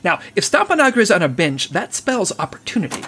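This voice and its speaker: An actress playing a businesslike section chief who gives crisp mission briefings. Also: female voice, mid-range voice, very animated, brisk, a bit bright. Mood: brisk.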